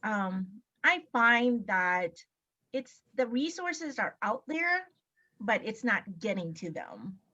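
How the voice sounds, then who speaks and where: A woman from Laos speaking on a webinar panel, pausing often with ums and restarting her sentences. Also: on the high side, sing-song, bright, forceful tone, highs cut off. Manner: hesitant, many ums and restarts. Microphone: video call.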